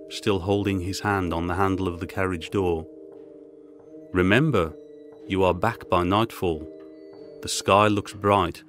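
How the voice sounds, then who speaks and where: A man reading a Gothic short story as a scripted audiobook narration, with music behind him. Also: low voice.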